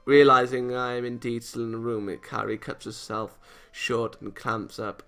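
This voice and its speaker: A man reading a visual novel aloud as a let's-play narrator, expressive and theatrical, with game music behind him. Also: mid-range voice, moderate pace.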